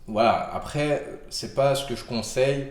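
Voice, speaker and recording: A man's voice: mid-range voice, normal speed, roomy sound. A man with a cold giving casual health advice to camera, rambling with many fillers and restarts. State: has a cold.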